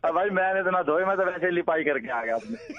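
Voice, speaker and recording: A man's voice: high voice, animated, fairly quick, a bit bright, muffled recording. A man in a casual phone chat, on the receiving end of a prank call.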